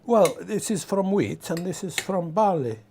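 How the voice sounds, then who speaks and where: A man from the Middle East or North Africa talking casually and reflectively, haltingly searching for words. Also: high voice, animated, a little mellow, slight background noise. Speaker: documentary interviewee.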